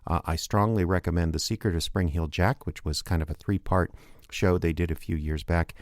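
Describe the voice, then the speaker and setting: A man's voice: low-pitched, steady tone, fairly quick, no room sound, clean studio sound. A man hosting a radio drama show, casually rambling through promotional plugs with frequent fillers. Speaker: show producer and host.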